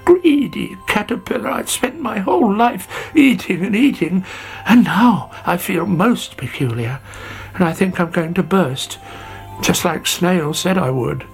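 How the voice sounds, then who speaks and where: A man voicing a greedy, cheeky young male caterpillar in a storybook reading, high and whiny. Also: big pitch swings, slow pace, husky, faint music behind, heavily acted. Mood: frightened.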